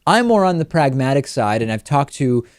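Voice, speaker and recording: A man with a medium-pitched voice delivers political commentary as a talk show host. He explains in a measured way, qualifying as he goes. The sound is dry and close on a studio microphone. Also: very animated, dry recording. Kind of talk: news commentary and analysis.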